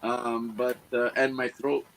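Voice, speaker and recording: A man's voice: medium pitch, little pitch movement, measured pace, gravelly, a bit bright, a little hiss or hum, rough recording. A man from Ontario, Canada, calling in as a guest. He is congested and losing his voice, and he talks in a friendly, laid-back way. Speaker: guest caller.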